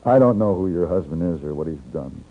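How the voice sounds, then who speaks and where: Calm western gunfighter, deep husky voice, measured American delivery, 1950s radio drama. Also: male voice, heavily acted. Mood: earnest. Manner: measured, plain-spoken. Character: captured western gunfighter, calm and reasonable man. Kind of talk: 1950s radio western acting, first-person narration and dialogue. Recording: muffled 1950s radio recording, music behind.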